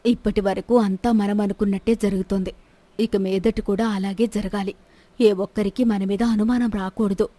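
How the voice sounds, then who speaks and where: A woman's voice: mid-range voice, steady tone, moderate pace, mellow, no echo, pitched below the performer's own voice, lightly acted. A woman voicing a secretive, conspiring queen who gives guarded orders in a story.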